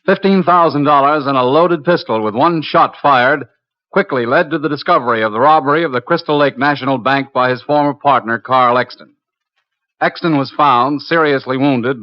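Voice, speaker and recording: An actor playing a calm, authoritative, matter-of-fact federal agent in an old-time radio crime drama. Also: male voice, mid-range voice, close to an everyday voice. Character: calm, businesslike male FBI agent, firm narrator. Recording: muffled old radio broadcast recording.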